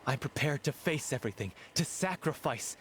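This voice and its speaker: A man's voice: medium pitch, lively intonation, normal speed, a little hiss or hum, close to an everyday voice. Man playing an impassioned, lovelorn theatre actor, music behind. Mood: solemn resolve. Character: lovelorn, hot-tempered male theatre actor, earnest and dramatic. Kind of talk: anime-style video game voice acting.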